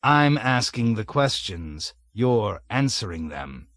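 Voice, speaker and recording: A man voicing a bloated, blustering army colonel who roars and hectors in a raised, loud voice. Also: fairly low pitch, big pitch swings, slow, no echo, studio quality, full character voice. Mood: bellowing, bullying. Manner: roaring, hectoring, sarcastic. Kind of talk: audiobook character voice.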